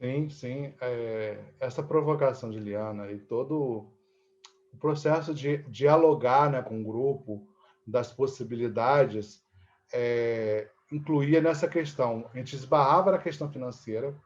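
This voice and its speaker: A Brazilian man explaining in a conversational interview, haltingly searching for words and often adding checking tags. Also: mid-range voice, dull audio. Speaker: interviewee, theatre teacher and actor.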